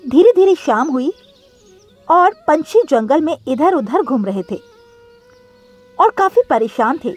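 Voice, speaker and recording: A woman's voice: high voice, very animated, takes it slowly, long pauses, a little mellow, faint music behind. A woman narrating a scripted cartoon story as the storyteller.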